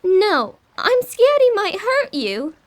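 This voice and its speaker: Very high, timid little girl. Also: wildly swooping pitch, slow pace, exaggerated voice. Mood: timid, secretive. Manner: childlike, hesitant, earnest. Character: timid, secretive seven-year-old English girl. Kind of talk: audio horror drama acting.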